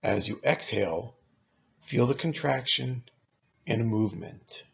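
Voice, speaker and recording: A man leading a guided meditation as a teacher, speaking in a calm, unhurried, instructional way with long pauses. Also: medium pitch, vocal fry, breathy edge, dull audio.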